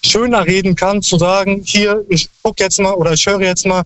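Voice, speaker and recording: A high-voiced man calling in to a radio show, laying out his points in long, earnest, lecturing sentences. The sound is dry and close, with no room around it. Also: fairly even intonation, moderate pace, fairly bright tone, no room sound. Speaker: caller. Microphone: phone line.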